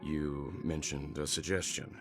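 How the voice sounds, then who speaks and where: Game role: authoritative navigator, extremely low voice, composed and formal, music underneath. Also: male voice, little pitch movement, measured pace. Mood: calm, businesslike. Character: calm, authoritative male navigator of a space train. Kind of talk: scripted game dialogue.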